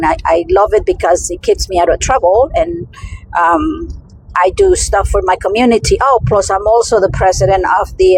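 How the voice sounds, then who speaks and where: A woman's voice: mid-range voice, slight background noise, low-quality recording. A middle-aged woman with a Spanish accent, talking in a casual personal vlog and rambling through many restarts and fillers. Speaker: vlogger.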